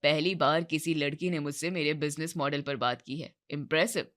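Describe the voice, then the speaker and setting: A woman puts on a wealthy young businessman from a cartoon moral tale. His voice is pitched down, curt and boastful, with a businesslike pride. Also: steady tone, normal speed, fairly bright tone. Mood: smug, cool. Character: proud, curt young rich businessman suitor. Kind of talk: moral-story cartoon voice acting.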